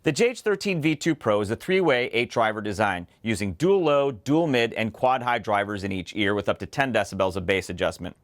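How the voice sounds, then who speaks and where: A man hosting a scripted product preview, explaining details in a measured way and spelling out model names clearly. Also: lowish voice, animated. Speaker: host and headphone reviewer.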